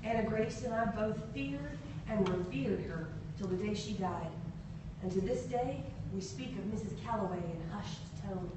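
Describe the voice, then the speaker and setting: A woman giving a theatrical, expressive live reading of a story at a podium in a hall. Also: medium pitch, moderate pace. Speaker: reader at a literary event. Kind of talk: dramatic live reading of a short story in character. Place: indoor hall at a podium, audience present. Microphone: far camera. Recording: echoey room from far off, noisy.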